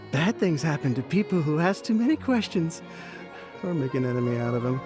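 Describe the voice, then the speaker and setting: A tipsy, nervous townsman from a video game. He rambles and hesitates warily, his pitch swinging up and down. Music plays behind him. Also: sing-song, moderate pace. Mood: anxious, hushed warning. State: drunk. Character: tipsy, nervous man frightened of a dangerous acquaintance.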